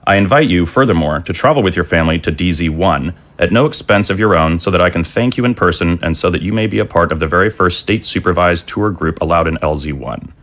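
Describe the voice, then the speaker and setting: A man acting a weary, level-headed middle-aged father in an audio drama, speaking in a measured, plain way. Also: sits low, fairly bright tone. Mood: formal reading.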